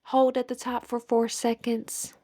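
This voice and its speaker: Slow, high-voiced woman guiding meditation. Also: flat delivery, dark tone. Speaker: guided meditation instructor. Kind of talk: guided meditation narration.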